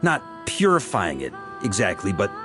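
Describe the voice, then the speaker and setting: A man's voice: fairly low pitch, huge pitch swings, normal speed. A man voicing an assured, easygoing seasoned knight and thoughtful mentor in a video game. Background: game music.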